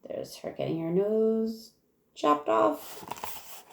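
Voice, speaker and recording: A woman teacher reading a rhyming picture book aloud in a measured way, with casual asides. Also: fairly high pitch, very animated, vocal fry.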